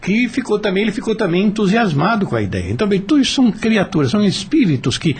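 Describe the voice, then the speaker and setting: A man with a Brazilian accent explaining ideas in a discussion, haltingly, repeating words as he searches for the next. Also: sits high, sing-song.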